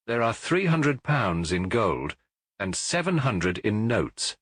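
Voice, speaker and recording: A man's voice: lowish voice, very animated, takes it slowly, a bit bright, breathy edge, dry recording, exaggerated voice. A man voicing a proud, anxious foreign king in a storybook, speaking with grand emphasis. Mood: formal. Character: imperious, anxious Bohemian king, intense and proud.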